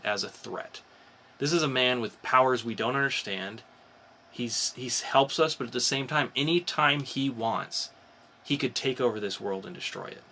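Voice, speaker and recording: A man's voice: medium pitch, pushed and bright, slight background noise. A man hosting a podcast, talking casually about geek news in an informal, explanatory way with some swearing. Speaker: podcast host.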